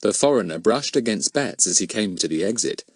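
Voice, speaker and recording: A man narrating a children's story from a script in an expressive, animated way. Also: fairly low pitch, a little fast, breathy edge, no echo. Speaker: audiobook narrator.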